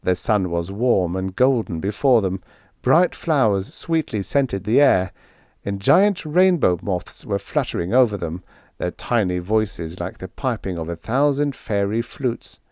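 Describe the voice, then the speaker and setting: A man reading a scripted fiction audiobook as narrator, in a measured, deliberate storytelling manner. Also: on the low side, lively intonation, a little mellow, no echo, clean studio sound.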